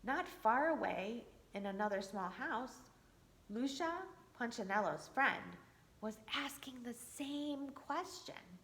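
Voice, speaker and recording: A woman's voice: a little high, animated, slow pace, a bit bright. A woman reading a picture book aloud to children for a church, with chatty asides to her young listeners. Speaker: church storyteller. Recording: echoey room.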